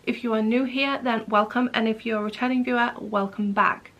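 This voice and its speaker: A woman hosting a knitting podcast in casual, rambling chat, her voice a little hoarse, now and then dropping to a whisper. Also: a little high, fairly even intonation, a little fast, fairly bright tone, highs cut off. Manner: chatty, rambling, drops to a whisper at times. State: slightly hoarse. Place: home craft room.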